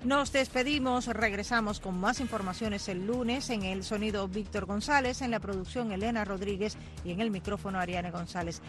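A news anchor with a mid-pitched voice reads the headlines in a formal, even tone. Music plays faintly underneath. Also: moderate pace, hardly any pauses. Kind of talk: radio newscast.

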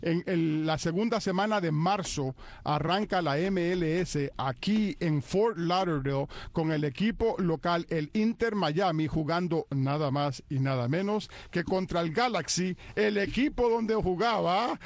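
A male sports co-host speaks in a fairly high voice, recorded close and dry. He delivers recaps and panel banter, rolling out foreign team names with showy pronunciation. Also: a little slow, dry recording. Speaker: sports show co-host presenting soccer recap.

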